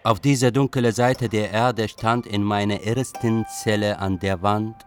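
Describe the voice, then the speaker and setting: An actor playing a thoughtful young male refugee writer, narrating a radio drama with wry, deliberate melancholy. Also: lowish voice, steady tone, smooth, natural voice. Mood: melancholic, thoughtful. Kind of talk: literary first-person radio drama narration. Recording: music bed behind voice.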